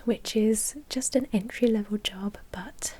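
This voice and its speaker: A woman whispering an ASMR roleplay as a polite, businesslike vampire bank manager, explaining things softly and matter-of-factly with small hesitations. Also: mid-range voice, measured pace, husky.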